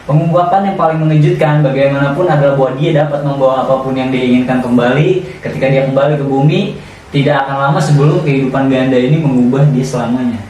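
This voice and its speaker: A man's voice: medium pitch, fairly even intonation, quick pace, a little mellow. A man with a colloquial Jakarta Indonesian accent co-hosting a podcast in casual, slangy chat. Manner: slangy, conversational. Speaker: podcast co-host. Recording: echoey room, distant mic, faint noise.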